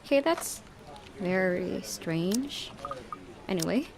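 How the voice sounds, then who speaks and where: A woman reading a visual novel game aloud in an expressive, giggly way, with casual reactions. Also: medium pitch, takes it slowly, vocal fry, crowd noise. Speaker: let's-play host.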